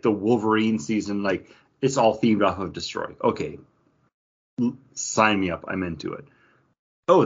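Male podcast co-host, raspy and bright, emphatic casual talker, slightly dull recording. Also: lowish voice. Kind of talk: casual chat about mobile games.

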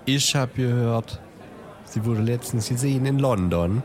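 A man's voice: mid-range voice, unhurried, darkish tone, some chatter behind, close to an everyday voice. A man playing a cheeky, tipsy pub regular spreading rumours in a scoffing way in an amateur audio drama. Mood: cheeky gossip. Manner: gossipy, scoffing.

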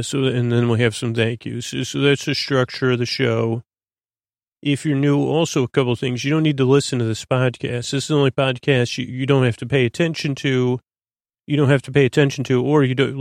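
A man hosting a bedtime podcast in a creaky, lulling voice, droning along in a halting, stammering, meandering monologue meant to help listeners sleep. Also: mid-range voice, dry recording, clean studio sound. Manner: halting, stammering, repeats and plays with words. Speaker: podcast host.

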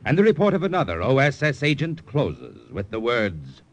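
A man's voice: medium pitch, huge pitch swings, moderate pace. Solemn man announcing a radio drama. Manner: solemn, formal. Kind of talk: scripted narration. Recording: old radio broadcast with music.

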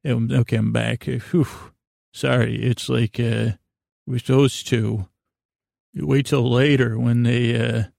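A man hosting a bedtime podcast in a halting, meandering, whimsical monologue, with a creaky voice and frequent self-interruptions. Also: mid-range voice, little pitch movement, darkish tone, dry recording, clean studio sound. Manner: silly, absurdly whimsical. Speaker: podcast host. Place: small closet, close and dry.

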